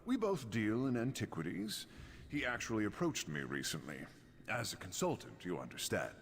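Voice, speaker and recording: A low-voiced lizard-man smuggler from a fantasy video game, suave and roguish. He speaks with theatrical, flowery courtesy and wry flair, his pitch swooping freely in a long reverberant space. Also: very animated, moderate pace, slight background noise. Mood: wry, bargaining. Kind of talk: video game character dialogue.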